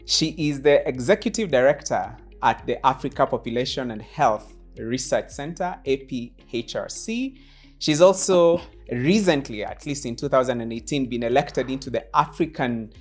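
Host, effusive, repeats words, studio lounge set, clip-on mic, faint music underneath. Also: female voice, a bass voice. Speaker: talk show host. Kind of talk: warm formal interview introduction.

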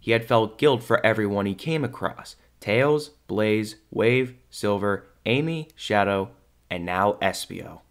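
A man's voice: lowish voice, lively intonation, a little slow. A man reading scripted narration as the narrator of an audio drama.

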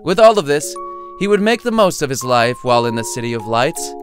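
A man's voice: mid-range voice, big pitch swings, brisk, faint music behind. A man reading his own short story as scripted audiobook narration, with a wry, playful storytelling manner.

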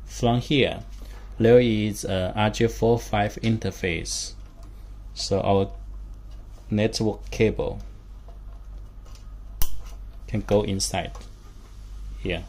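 A man giving a hands-on instructional demonstration as a product demonstrator, speaking haltingly in short phrases in a small room. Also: lowish voice. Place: small indoor room with a work table. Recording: steady background room noise.

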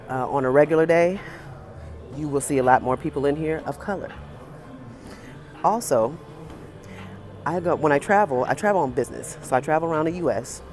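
A woman's voice: sits low, darkish tone. Emphatic American woman vlogging over music. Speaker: fitness vlogger. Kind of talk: casual opinionated talk to camera. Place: indoor gym with high ceiling.

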